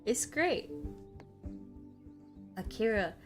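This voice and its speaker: A woman's voice: on the high side, big pitch swings, drawn-out words. An American woman chatting casually on a livestream while she plays a puzzle game, in a playful, slangy, rambling way. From United States. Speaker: streamer. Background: music.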